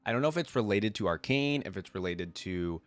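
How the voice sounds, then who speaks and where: A man's voice: fairly low pitch, animated, a little fast. A man congested from a cold, giving casual, informal reaction commentary as a video host, thinking aloud as he goes. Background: loud music from the videos watched.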